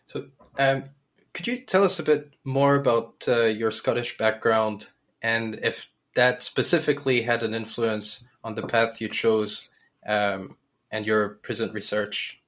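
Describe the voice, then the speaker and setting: A man's voice: medium pitch, fairly even intonation, measured pace, fairly bright tone. A man asking an interview question hesitantly, with fillers. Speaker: student interviewer.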